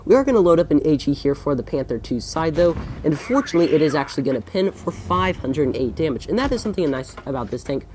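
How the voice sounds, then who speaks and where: A man's voice: a little high, very animated, fast, few pauses, darkish tone, slight background noise. A young man with a congested voice from a recent cold, casually commentating over his own gameplay and often correcting himself with fillers. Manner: rambling, self-correcting, frequent fillers.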